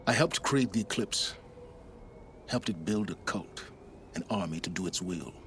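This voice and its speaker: An actor playing an enigmatic, cynical older male scholar in a video game cutscene, eloquent and measured. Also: sits low, slight background noise. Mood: confessional. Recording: loud music behind the voice.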